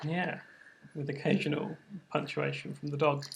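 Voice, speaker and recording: A man's voice: on the high side, little pitch movement, moderate pace, creaky, slight background noise, low-quality recording. A man co-hosting a live stream and handling the tech, chatting casually with dry, understated humour. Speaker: co-host running the stream tech. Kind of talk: casual chat.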